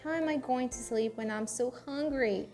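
A woman's voice: high-pitched, normal speed, darkish tone, soft background music, natural voice. A woman voicing Humph, a sleepy, hungry, grumpy male toy hippo in a children's storybook, with a grumbling drawl.

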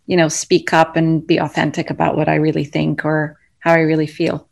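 A woman's voice: low voice, flat delivery, a little fast, creaky. A woman from Egypt answering interview questions earnestly, with many fillers and restarts. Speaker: interview guest, tech founder.

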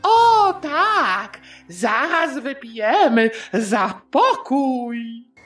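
A woman voicing a timid, sly, flattering male politician with a wheedling, hesitant manner in a satirical audio play. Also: sits high, extremely slow, soft background music, heavily acted. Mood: sly, agreeable.

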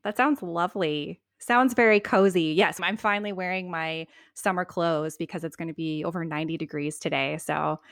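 Woman podcast host with a lowish voice. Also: normal speed, hardly any pauses, no echo, clean studio sound. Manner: warm gushing intro read, then chatty and relaxed. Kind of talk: scripted intro then casual interview. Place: home, video call.

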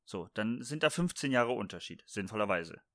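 A man's voice: on the low side, lively intonation, normal speed, a bit bright, dry recording, studio quality. A man chatting casually as a podcast co-host, joking and rambling with frequent restarts. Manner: playful, jokey, rambling with many restarts. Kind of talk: casual chat.

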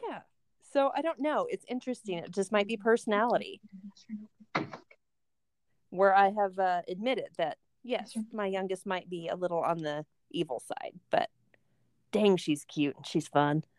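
A middle-aged woman co-hosting a casual podcast chat. She tells stories with animation and acts out voices. Her voice is mid-pitched and the recording is dry and close. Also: normal speed, darkish tone, no echo. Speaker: podcast co-host and substitute teacher.

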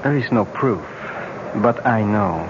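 A man playing a courteous, solemn young prince in danger, speaking with measured politeness in a 1970s radio drama. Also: lowish voice, lively intonation. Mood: grave. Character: grave, courteous young prince marked for death. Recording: muffled, very noisy old radio recording.

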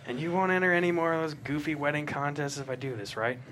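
A man's voice: on the high side, fast, a bit bright, slight background noise, natural voice. A man playing an earnest, devoted cop in love at a script table read. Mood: teasing, resigned.

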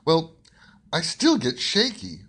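A man playing an amiable young gentleman in love in a radio play, talking in an open, earnest way. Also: on the high side, very animated, takes it slowly, vocal fry, husky, exaggerated voice. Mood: happy, earnest. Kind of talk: radio theater acting.

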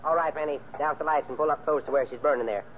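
A man's voice: on the high side, fairly even intonation, quick pace, a little hiss or hum, natural voice. A man acting as a cold, cocky gangster ringleader in an old-time radio crime drama, with slangy, bossy tough-guy talk. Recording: tinny, band-limited old radio recording.

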